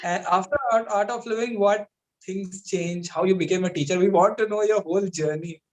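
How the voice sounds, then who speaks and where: A man hosts an interview in a relaxed, informal way, stringing his questions together loosely. His voice sits somewhat higher than most men's. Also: moderate pace, rough recording. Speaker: host. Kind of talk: casual interview chat.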